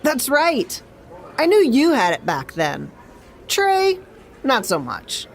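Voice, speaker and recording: A woman's voice: sits high, wildly swooping pitch, slow, slight background noise, exaggerated voice. A woman playing a friendly, gracious veteran wrestling star in a video game cutscene.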